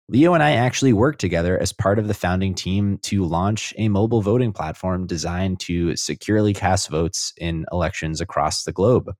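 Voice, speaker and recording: Deep-voiced man hosting a podcast. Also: darkish tone, no room sound, studio quality. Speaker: podcast host. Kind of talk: scripted intro then casual interview.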